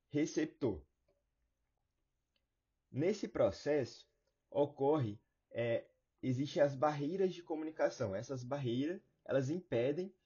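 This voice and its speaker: Husky Brazilian man teaching informally. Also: mid-range voice, mellow, lo-fi sound. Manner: casual, colloquial, explanatory. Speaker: online course teacher. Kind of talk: informal lecture with everyday examples.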